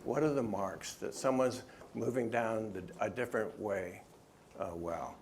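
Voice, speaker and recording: Earnest halting man speaking in church. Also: lowish voice, a little mellow, a little hiss or hum. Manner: earnest, often halting with restarts. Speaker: author and speaker. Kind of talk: church talk with questions from the audience.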